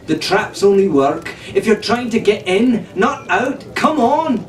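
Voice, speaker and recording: A man voicing an urgent, sharp-witted young girl pirate calling out to crewmates in a storybook. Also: high-pitched, lively intonation, unhurried, voice pushed up. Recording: roomy, distant, noisy recording.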